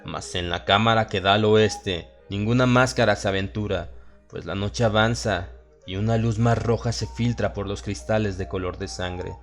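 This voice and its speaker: A man with a Latin American Spanish accent narrating a horror story in a solemn, theatrical manner with dramatic pauses. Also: fairly low pitch, steady tone. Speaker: audiobook narrator. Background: music and sound effects.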